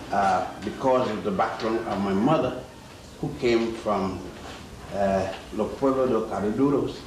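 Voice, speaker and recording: Older man, lecturer, low voice, halting pauses, distant mic, roomy hall, noisy. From Ethiopia. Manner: slow, halting, with many pauses and fillers. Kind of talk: public lecture. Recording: echoey hall from far off, noisy.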